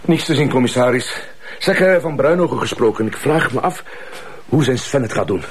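A man's voice: mid-range voice, moderate pace, background noise, lightly acted. A man with a Flemish accent playing a chatty, deferential police detective in a crime drama, talking in a colloquial way. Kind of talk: acted drama dialogue.

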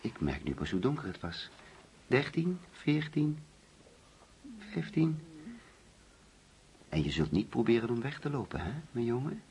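An actor in a radio play as a grieving, middle-aged father, menacing and controlling, speaking in a deliberate, coaxing yet commanding way. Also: medium pitch, sing-song, a little mellow, a little hiss or hum. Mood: quiet menace. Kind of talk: radio drama acting.

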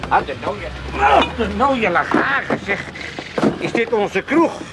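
A man's voice: sits high, lively intonation, takes it slowly, loud music behind the voice. An actor playing a grumbling, mooching older father who loves his drink, with an Amsterdam accent, in a theatrical, whining radio comedy style. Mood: agitated. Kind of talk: 1980s radio comedy acting.

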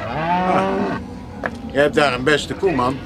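An actor playing a persuasive, pious well-off farmer in a radio play. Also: male voice, a little high, takes it slowly, loud music behind the voice. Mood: coaxing. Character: coaxing, pious rich farmer wanting a deal.

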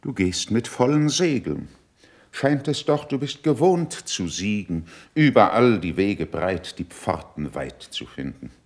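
A deep, condescending older statesman. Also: big pitch swings, heavily acted. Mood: guarded, mocking. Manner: measured, dryly ironic, superior. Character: cool, shrewd, condescending older male statesman. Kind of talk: classical German verse stage acting for radio play.

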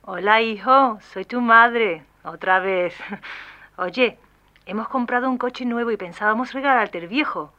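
A woman's voice: a little high, a little slow, pushed and bright, lo-fi sound. A woman playing a chatty mother leaving a scripted answering-machine message for her grown son.

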